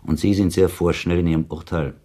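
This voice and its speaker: An actor playing an urbane, cynical listener in a German radio play, arguing formally and with irony. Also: male voice, sits low, fairly even intonation, fairly quick, dark tone. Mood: argumentative. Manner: ironic, formal, argumentative. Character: cynical, urbane male listener, provocative, argumentative sceptic. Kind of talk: German radio play dialogue, literary debate.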